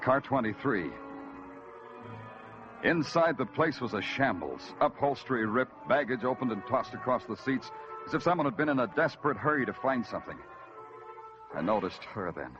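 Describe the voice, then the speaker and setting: An actor playing a dry, hard-boiled detective. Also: male voice, mid-range voice, very animated, normal speed, close to an everyday voice. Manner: terse hard-boiled narration, dry wit. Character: tough, wry male police detective narrator. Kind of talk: 1940s radio acting. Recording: lo-fi old radio recording with music.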